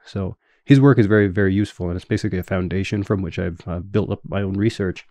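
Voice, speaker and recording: Canadian man, 30s, academic guest; low, mellow voice, measured and explanatory, dry close mic. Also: dry recording. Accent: Canadian English. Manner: measured, explanatory, with frequent fillers. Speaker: guest scholar. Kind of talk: academic interview answers.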